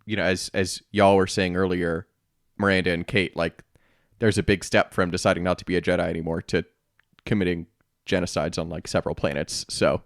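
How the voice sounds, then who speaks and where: A man's voice: low-pitched, flat delivery, fairly quick, dry recording, studio quality. A man co-hosting a podcast in casual chat, talking in a rambling, conversational way. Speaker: podcast co-host.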